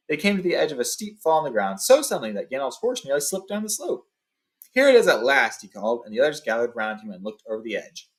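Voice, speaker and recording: A man hosting a book read-along, informally telling and reading a story aloud. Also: mid-range voice.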